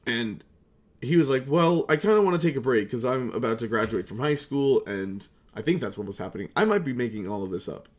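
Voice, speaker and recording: Rambling, sweary young man vlogging. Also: medium pitch. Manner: self-deprecating, sweary, excitable. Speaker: video creator, amateur voice actor. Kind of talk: casual rambling retrospective vlog.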